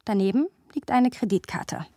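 A woman acts a reflective first-person narrator in a literary radio play. She tells it in a measured, wry way at a medium pitch. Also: animated, warm tone, a little hiss or hum, natural voice.

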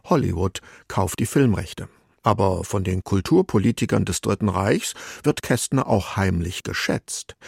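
A man narrates a scripted documentary with a measured, factual delivery. His voice sits fairly low, with a husky grain and plenty of creak, recorded close and dry. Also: darkish tone, no room sound. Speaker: radio presenter.

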